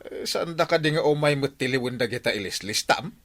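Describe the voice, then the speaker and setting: A man playing an anxious village man in a radio comedy drama, asking worried questions quickly. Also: mid-range voice, expressive, fairly bright tone.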